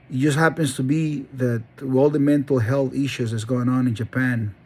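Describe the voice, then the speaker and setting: A man's voice: medium pitch, mellow, husky. A middle-aged man from Honduras, telling his life story in an earnest, rambling monologue full of restarts. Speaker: dog breeder and channel host. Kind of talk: personal monologue.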